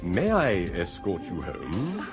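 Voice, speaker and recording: An actor playing a pompous, condescending older official in a radio drama, with a sonorous voice. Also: male voice, low-pitched, huge pitch swings, slow, over music, heavily acted. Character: pompous older male Arch-Community-Songster.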